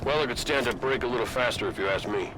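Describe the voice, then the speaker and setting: An actor playing a casual, dryly joking soldier in a video game. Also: male voice, medium pitch, quick pace, lightly acted. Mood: wry, battle-ready. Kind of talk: video game cutscene acting. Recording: gunfire and loud battle noise behind.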